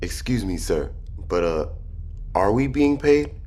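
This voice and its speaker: A man's voice: on the low side, big pitch swings, unhurried, dark tone. A man playing a meek, hesitant junior sailor in an audio drama. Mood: timid. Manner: hesitant, deferential.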